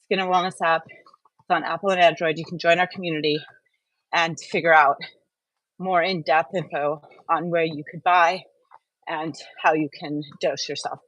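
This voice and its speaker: A woman's voice: low-pitched, steady tone, moderate pace, fairly bright tone, no room sound, low-quality recording. A woman hosting a casual livestream while walking on a treadmill, talking frankly and directly to her viewers through earbuds. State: warming up from exercise. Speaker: wellness channel host. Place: indoors at home on a treadmill.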